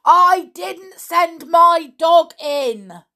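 A woman voicing a bold young boy who calls out loudly in a children's story reading. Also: mid-range voice, animated, a little slow, slightly rough, pitched above the performer's own voice. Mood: urgent shouting. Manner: calling out loudly, eager. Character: brave boy, club leader, shouting.

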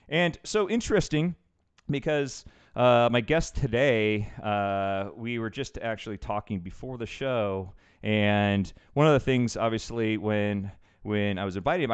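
A man's voice: on the low side, clean tone, highs cut off. A middle-aged man hosting a casual show, rambling loosely with many fillers as he introduces his guest. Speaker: podcast host. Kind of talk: casual chat.

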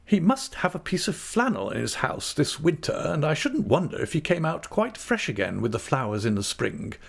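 A man voicing a brisk, kindly older aunt in an audiobook, affectionate, cheerful and plain-spoken. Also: fairly high pitch, very animated, creaky, voice pushed up, full character voice. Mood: brisk, emphatic.

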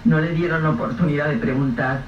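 A man narrating a radio drama in a measured, grave storytelling voice. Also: on the high side, steady tone. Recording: echoey, distant, dull lo-fi with faint hiss.

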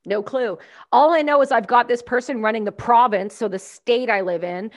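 Woman, from Canada, casual podcast chat, blunt, profane, ranting, higher-pitched. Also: moderate pace.

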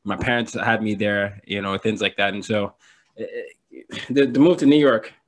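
A man's voice: lowish voice, normal speed. A man from Nigeria, a podcast guest telling stories in a playful, joking way and laughing often. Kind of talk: casual storytelling interview.

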